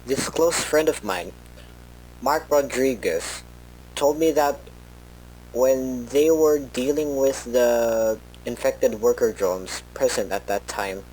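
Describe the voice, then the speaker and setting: A man playing a worried researcher who records a rambling, halting, informal tape log for an analog horror story. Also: mid-range voice, a little mellow, a little hiss or hum, lightly acted, low-quality recording. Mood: somber, halting. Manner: hesitant, halting, rambling with self-corrections.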